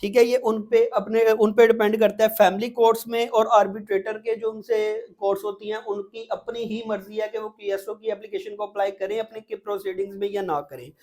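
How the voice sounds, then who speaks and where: A man's voice: sits high, steady tone, fairly quick, few pauses, a little mellow. A man with a Pakistani accent giving an exam-prep lecture, explaining step by step as a teacher and often repeating points with little check-in words.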